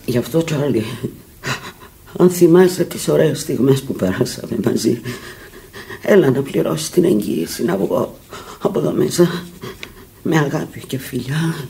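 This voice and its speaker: An actress in a radio drama playing a sick, bitter, foul-mouthed and desperate fallen woman, speaking theatrically and haltingly, often repeating words. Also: a bass voice, expressive, vocal fry, mellow, full character voice. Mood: pleading. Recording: noisy archival radio recording.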